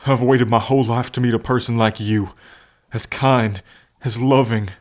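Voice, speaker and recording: A man playing a gentle, devoted bull man with a violent past in an ASMR roleplay, speaking earnestly and tenderly with halting pauses. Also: medium pitch, fairly even intonation, lightly acted. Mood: tender devotion. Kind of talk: ASMR roleplay addressed to the listener.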